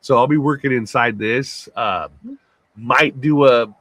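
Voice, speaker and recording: A man with a gruff voice co-hosting a casual live talk show, loose and laughing, swearing freely. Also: mid-range voice, a little slow, fairly bright tone, no echo. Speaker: co-host. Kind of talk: casual live-stream chat.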